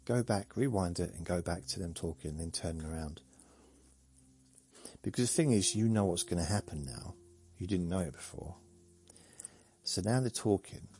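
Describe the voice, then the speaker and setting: A man hosting a sleep podcast, talking slowly in a meandering, deadpan, joking way with faint music behind. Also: low voice, slightly rough. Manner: slow, meandering, deadpan humor. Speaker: podcast host. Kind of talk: rambling sleepy monologue.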